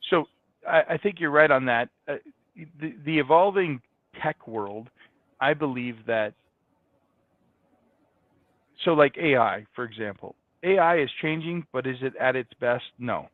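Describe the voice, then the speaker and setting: A man's voice: mid-range voice, normal speed, dry recording. A man giving conversational, explanatory answers as a guest in a business interview. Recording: dull, band-limited, dry sound.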